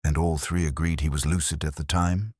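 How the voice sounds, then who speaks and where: A man voicing an incisive Victorian detective in an audiobook, with formal, measured, probing questions. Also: extremely low pitch, fairly even intonation, darkish tone, husky, no room sound, studio quality. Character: Victorian male detective, incisive, intrigued and commanding.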